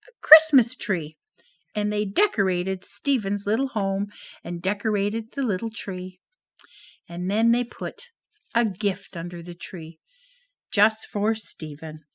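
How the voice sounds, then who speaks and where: A woman narrating a children's puppet story in an animated, sing-song manner. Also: medium pitch.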